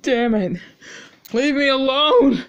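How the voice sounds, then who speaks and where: A man's voice: very high voice, expressive, slow, fairly bright tone, a little hiss or hum, heavily acted. An actor playing a tormented man who hears his mother's voice, cursing and talking to her as if she were in the room. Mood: anguished. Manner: anguished, cursing, talking to someone absent. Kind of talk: dramatic monologue.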